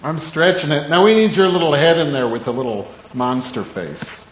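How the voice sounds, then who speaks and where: A man's voice: a little high, lively intonation, moderate pace, a bit bright, slight background noise, rough recording. A man hosting a crude, joking casual chat show and taking calls from listeners. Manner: joking, takes live calls. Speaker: host.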